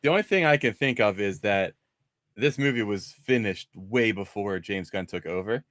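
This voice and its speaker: A man with a fairly low voice hosts a casual movie discussion, recorded dry and close. He rambles conversationally, often changing direction partway through a sentence. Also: lively intonation, moderate pace, fairly bright tone, no echo. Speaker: host of a movie discussion show. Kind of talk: casual panel chat about films.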